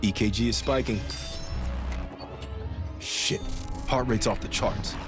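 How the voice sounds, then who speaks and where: An actor playing an uneasy medic in a video game cutscene, tense and terse. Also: male voice, mid-range voice, steady tone, slow pace, natural voice. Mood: alarmed. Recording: music behind the voice.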